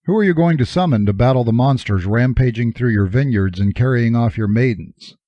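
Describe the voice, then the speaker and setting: A man's voice: mid-range voice, a little fast, dry recording, highs cut off. A man reading a first-person novel as an audiobook narrator, with a wry, dryly humorous delivery.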